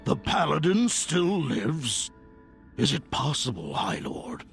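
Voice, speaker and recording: An old orc war veteran for a fantasy game. He is grave and honourable, rough with creak, weighing each phrase slowly over faint music. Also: fairly high pitch, wildly swooping pitch, exaggerated voice. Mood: grave, hopeful. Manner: weighty, deliberate. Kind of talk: fantasy video game acting.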